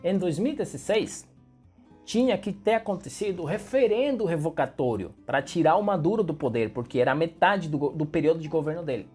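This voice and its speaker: A man's voice: on the high side, expressive, fairly quick, a little mellow, husky, soft background music. A young man from Venezuela with a Venezuelan Spanish accent, a native Spanish speaker, giving emphatic political commentary straight to the viewer.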